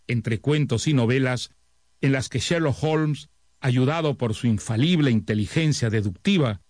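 A man reading a scripted introduction to a literary radio series in a measured, expository manner. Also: mid-range voice, a little mellow, no echo, studio quality. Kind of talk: scripted narration.

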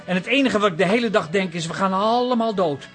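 An actor playing a morose, self-pitying photographer who complains, in a Dutch radio comedy drama. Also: male voice, sits high, fast, music in the background, close to an everyday voice. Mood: morose complaining. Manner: whingeing, morose.

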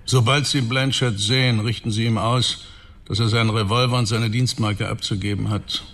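A man's voice: fairly low pitch, fairly even intonation, moderate pace, a bit bright. A man playing a stern police chief in a radio drama, commanding, clipped and sardonic. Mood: stern, cutting. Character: stern, cutting male police chief of detectives.

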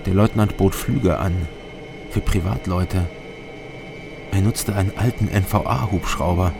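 An actor playing a weary, disillusioned East German investigator in a radio crime drama, with terse, sober phrasing. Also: male voice, deep voice, unhurried, creaky, background noise. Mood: sober narrating. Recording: studio drama with music behind.

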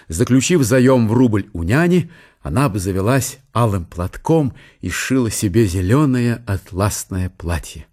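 A man reading literary narration for a radio play in an expressive, theatrical storytelling manner. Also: on the low side. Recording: studio radio play with faint music.

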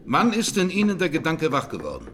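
An actor playing a sober presiding judge in a 1920s trial radio drama, questioning formally and insistently. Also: male voice, a little high, wildly swooping pitch, fairly quick, noisy background. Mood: formal, probing.

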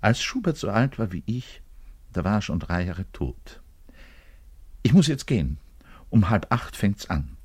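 Husky, volatile, neurotic orchestral bassist. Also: male voice, big pitch swings, unhurried, long pauses, dry recording, full character voice. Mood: resigned. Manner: volatile, swinging between muttered confession and loud outbursts. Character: neurotic, frustrated orchestral double bassist, self-loathing and obsessive. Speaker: actor in a radio monologue. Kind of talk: dramatic monologue radio play, confiding to the listener.

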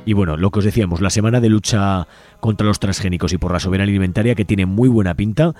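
A man's voice: on the low side, fast, darkish tone, dry recording, faint music behind. A man with an accent from Spain, hosting a community radio show and reading out event listings. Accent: Spanish from Spain.

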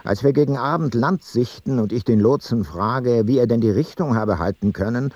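An actor playing an ancient seafarer, reading his ship's log in a radio play in a measured, matter-of-fact way. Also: medium pitch, a little mellow, dry recording, close to an everyday voice. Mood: measured, observant.

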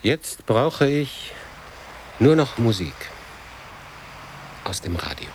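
An actor playing a resigned, forlorn old man in a radio drama, gentle and laconic, speaking in short fragments. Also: mid-range voice, sing-song, takes it slowly, slight background noise. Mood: weary. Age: older.

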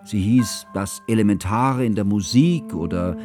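A male actor as a cultured narrator in a literary radio play. He recounts memories in a measured, reflective manner, with plucked guitar music clearly audible behind him. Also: fairly low pitch, natural voice.

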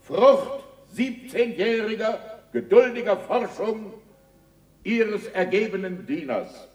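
An actor playing a deferential scholar giving a declamatory, deliberate formal address to dignitaries in a 1960s radio drama. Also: male voice, sits high, lively intonation, darkish tone, soft background music. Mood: ceremonious, deferential. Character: Galileo as ceremonious, humbly flattering scholar addressing dignitaries. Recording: echoey hall, distant from the mic.

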